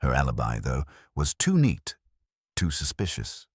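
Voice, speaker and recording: A man narrates a detective story in an extremely low voice. His delivery is wry and wisecracking, with lively swings in intonation. The sound is dry and close, with no room around it. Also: unhurried, breathy edge, no echo. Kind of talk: scripted first-person detective audiobook narration.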